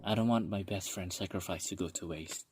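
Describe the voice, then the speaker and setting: A man's voice: low-pitched, a little fast, natural voice. A man with an Indonesian accent playing an earnest young protector from a secret order in an amateur radio drama. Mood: solemn. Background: loud music.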